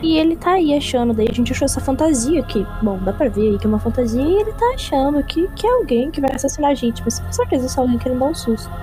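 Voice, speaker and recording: A woman's voice: high-pitched, expressive, fast, few pauses, warm tone, rough recording. A woman with a Brazilian accent chatting casually in character as a tabletop roleplay player. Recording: music behind the voice.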